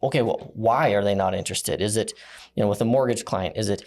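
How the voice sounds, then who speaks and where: A man speaking as a podcast guest, conversational and a little halting as he thinks aloud. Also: lowish voice, a little mellow. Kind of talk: conversational business podcast talk.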